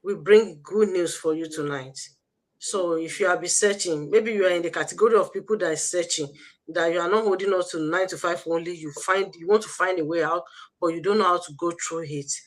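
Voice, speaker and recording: A Nigerian woman hosting an online livestream, giving a motivational pitch with emphatic, repetitive, preacher-like exhortation. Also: fairly quick, low-quality recording. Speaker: host of an online business promotion livestream.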